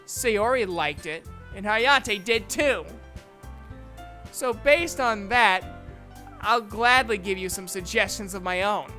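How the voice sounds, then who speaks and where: A woman's voice: medium pitch, slow, pushed and bright, faint music behind. A woman voicing a feisty, blunt, easily angered teenage girl in an anime visual novel fandub, with a snappy, confrontational manner. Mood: defiant, boastful.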